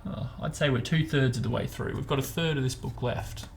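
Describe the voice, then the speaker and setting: A man's voice: mid-range voice, fairly quick, vocal fry, a little hiss or hum. A man chatting casually as host of a book discussion, talking in an informal, meandering way as he explains and speculates.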